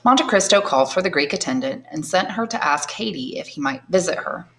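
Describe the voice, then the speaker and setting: A woman, an English teacher, reading a classic novel aloud in steady, clear storytelling. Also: fairly low pitch, brisk. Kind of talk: audiobook narration.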